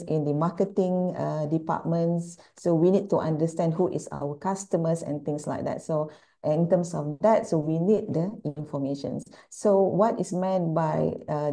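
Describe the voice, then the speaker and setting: A woman's voice: low voice, warm tone. A woman with a Malaysian accent giving a conference presentation, explaining things step by step with repetition and rhetorical questions. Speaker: university speaker at an online conference. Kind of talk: plenary presentation, lecture.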